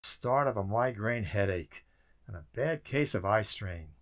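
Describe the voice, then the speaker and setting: An actor playing a weary, dry-witted government codebreaker in an emphatic radio drama style. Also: male voice, on the low side, lively intonation, a little slow, a bit bright. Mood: weary, frustrated.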